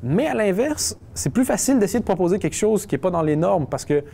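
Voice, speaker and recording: A man's voice: high-pitched, big pitch swings, fast, vocal fry, mellow. A young man, chatting casually and wryly in an interview, often restarting his sentences. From Quebec. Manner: conversational, wry, restarts often.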